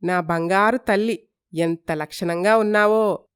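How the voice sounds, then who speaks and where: A woman voicing an excited, doting middle-aged mother-in-law in a cartoon story, gushing and fussy. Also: mid-range voice, measured pace, clean tone, natural voice. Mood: delighted, fussing.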